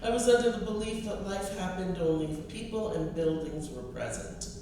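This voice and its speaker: A middle-aged American woman from the East Coast, a poet reading her own literary work in a measured, expressive way with deliberate phrasing. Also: on the low side. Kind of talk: literary reading of poetry and personal essay. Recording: distant mic, echoey room, clear noise.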